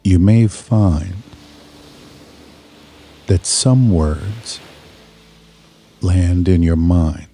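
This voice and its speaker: A man narrating a scripted guided meditation in a soothing, measured manner, leaving pauses between short phrases. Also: low-pitched, warm tone, breathy edge.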